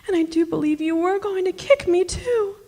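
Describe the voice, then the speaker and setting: A woman's voice: extremely high pitch, normal speed, warm tone. An actress playing a bossy, sly witch wife in a radio drama, speaking in an imperious, theatrical way. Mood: indignant, scolding. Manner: imperious, scolding, coy. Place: stage performance in a community club hall. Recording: echoey hall from a stage.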